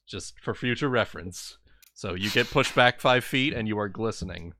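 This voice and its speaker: A man's voice: lowish voice, moderate pace, sharp tone, slight background noise. A man chatting casually through a tabletop role-playing game, often laughing as he narrates.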